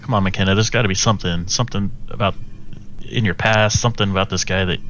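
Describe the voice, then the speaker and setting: Low-voiced man, casual, slightly dull recording. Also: fairly even intonation, fast, a bit bright, slight background noise. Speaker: player in a tabletop role-playing game. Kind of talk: casual improvised role-play chat.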